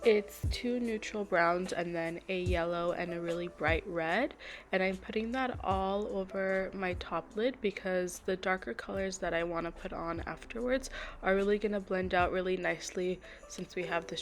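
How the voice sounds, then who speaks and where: A woman's voice: on the low side, moderate pace. A young woman in her twenties, a bit congested and nasal, chatting through a makeup tutorial voice-over step by step and apologizing now and then. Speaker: beauty vlogger. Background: background music.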